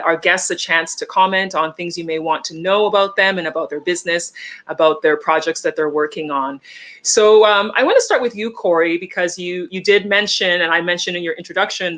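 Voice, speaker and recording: A woman hosting a live-streamed interview, chatty and relaxed with frequent fillers. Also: on the low side. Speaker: podcast host.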